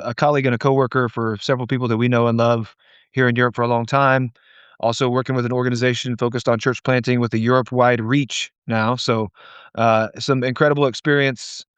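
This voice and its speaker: A man co-hosting a podcast, with a smooth, clear voice, talking in a relaxed, slightly halting way. The sound is dry, close and a bit dull in the highs. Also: no echo. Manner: relaxed, hesitant, with fillers. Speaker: podcast co-host. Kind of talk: casual interview chat.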